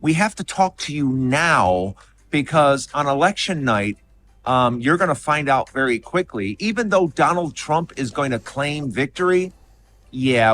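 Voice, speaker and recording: A man's voice: medium pitch, a bit bright, a little hiss or hum. A man giving a blunt, insistent political talk straight to the listener.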